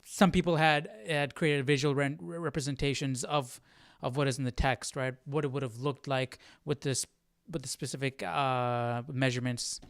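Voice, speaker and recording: A man hosting a live Bible study in a casual, halting, conversational way, often checking in with listeners as he explains. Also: medium pitch, fairly even intonation, clean tone, dry recording. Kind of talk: casual livestream Bible teaching.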